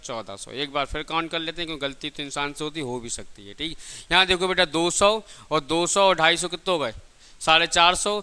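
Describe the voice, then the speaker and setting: A male teacher with a high, very bright, forceful voice that rings clear. He lectures step by step, often repeating himself. He poses questions to the students and then answers them himself. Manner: didactic, repeats phrases and asks rhetorical questions he answers himself. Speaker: physics teacher for exam preparation.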